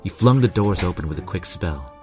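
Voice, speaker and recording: A man narrates a first-person story in a deep, low voice. His reading is scripted fiction, with music playing behind him. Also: lively intonation, fairly quick, darkish tone.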